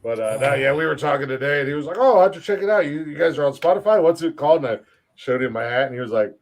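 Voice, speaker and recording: A young man hosts a loose comedy podcast, joking around and swearing freely. His voice sits a bit high for a man, and he laughs often between lines. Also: animated, racing. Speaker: podcast host. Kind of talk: casual comedy podcast chat.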